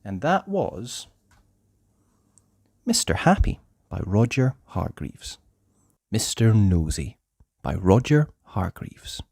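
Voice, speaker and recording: Slow, very animated children's storybook narrator. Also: deep voice, vocal fry, slightly rough, no room sound. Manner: theatrical, deliberate, with drawn-out emphasis. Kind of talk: expressive children's read-aloud narration.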